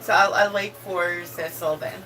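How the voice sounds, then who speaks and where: Through a noisy, distant mic, a woman speaks with a Filipino accent in a slightly low voice. She is polite and casual, and her phrasing is halting, as if she is picking her words as she goes. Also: steady tone, bright, forceful tone. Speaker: vlogger and job applicant. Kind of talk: casual, polite phone conversation and vlog talk.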